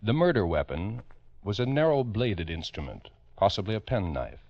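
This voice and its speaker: A man with an American accent narrating a 1950s radio true-crime drama as host, in a grave, emphatic, deliberate way. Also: lowish voice, sing-song. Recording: dull old radio recording.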